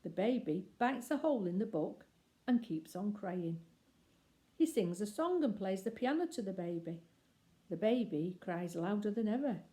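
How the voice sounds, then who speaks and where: A woman reading a children's story aloud expressively, with deliberate phrasing and emphatic pauses. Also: medium pitch, animated, mellow.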